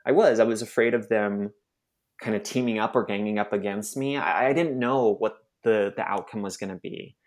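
A young adult man from Arizona, a nonprofit staff member, telling his personal story in an interview, halting and emotional with many restarts. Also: on the low side.

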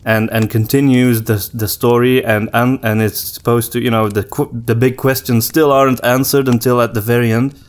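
A man co-hosting a podcast in casual conversation, with hesitant, halting phrasing and repeated words. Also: mid-range voice, flat delivery. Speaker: podcast co-host and story writer.

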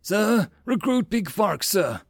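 A man's voice: high voice, big pitch swings, slow, vocal fry, husky, no room sound, voice pushed up, full character voice. A man voicing a proud knight captain turned recruit in an audiobook, speaking formally and declaiming. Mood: strained.

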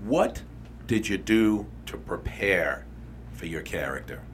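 A man's voice: low-pitched, animated, slow, a little hiss or hum. A man hosting a casual podcast interview, talking loosely with lots of restarts and fillers. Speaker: podcast host interviewing a musical cast.

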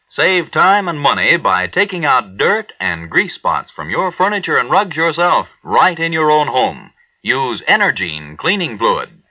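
A man's voice: medium pitch, bright, forceful tone, dry recording. A man reading commercials as a radio announcer in a 1940s advertising style. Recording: dull vintage radio recording.

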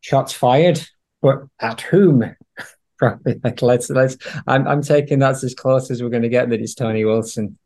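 A man's voice: mid-range voice, normal speed, a little mellow. A man in a casual podcast discussion, explaining his points in an assertive, wry way. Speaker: podcast panelist.

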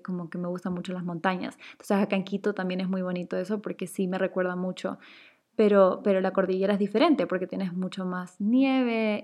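A woman's voice: mid-range voice, a little mellow. A young woman from Chile with a mild Chilean accent mixed with a neutral one, chatting casually as she answers viewer questions, rambling with fillers and self-corrections. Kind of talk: casual chat.

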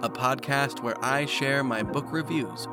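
A man promoting his own podcast in a relaxed, offhand way. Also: mid-range voice, measured pace. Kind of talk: casual promo. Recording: loud music bed under voice. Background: drums and instrumental music.

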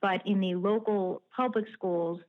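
A woman guest, an author and journalist whose first language is Japanese, answering in a measured, articulate, detailed way. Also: medium pitch, steady tone, phone-quality sound. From United States. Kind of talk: interview answers.

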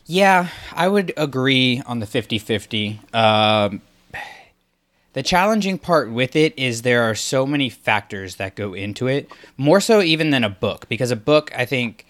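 A man co-hosting a podcast, talking casually with quick, chatty delivery and frequent fillers. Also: mid-range voice, expressive, a bit bright. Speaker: podcast co-host.

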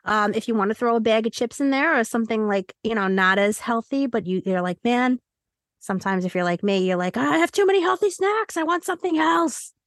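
A woman's voice: fairly high pitch, lively intonation, fairly quick, dry recording. Playful woman podcaster, close dry sound. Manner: playful, jokey. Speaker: podcast co-host. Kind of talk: casual, chatty podcast hosting.

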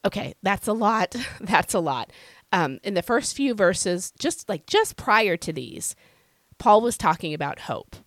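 A woman hosting a devotional podcast, her voice scratchy and hoarse from illness, teaching in an earnest, conversational way. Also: mid-range voice, big pitch swings, measured pace, a bit bright, no echo. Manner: earnest, conversational, with fillers and repeated phrases. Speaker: podcast host.

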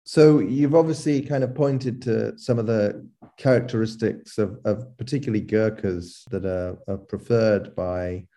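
A man interviewing a guest, asking questions in a halting way with repeated words. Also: lowish voice, steady tone, dark tone.

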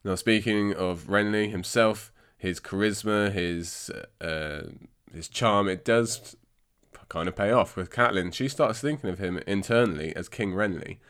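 A man's voice: fairly low pitch, a little slow, a bit bright, clear, resonant tone. A man from England hosting a book podcast in a chatty, self-deprecating way, his voice congested and his throat scratchy from a cold. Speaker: podcast host. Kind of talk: casual book podcast monologue.

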